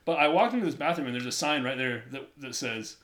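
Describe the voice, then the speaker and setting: A man's voice: mid-range voice, animated, quick pace, a bit bright. A young man co-hosting a podcast, telling a casual story and laughing often. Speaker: podcast co-host. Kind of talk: casual chat.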